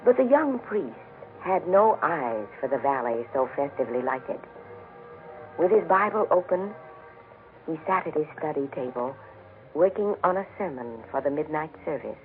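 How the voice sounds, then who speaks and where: A man telling a story in solemn 1940s radio narration, with reverent, measured, formal phrasing. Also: on the high side, sing-song. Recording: tinny 1940s radio broadcast with music behind. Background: music.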